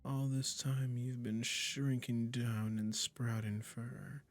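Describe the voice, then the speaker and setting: A man's voice: medium pitch, level tone, natural voice. A man playing a possessive, sweetly menacing male witch in an intimate roleplay audio, coaxing and condescending as he speaks to the listener. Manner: slow, coaxing, condescending pet-name talk, dropping into whispers.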